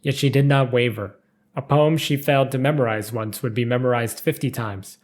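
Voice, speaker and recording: Guy narrating game lore from a script, storybook kind of reading, normal mid pitch. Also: normal speed.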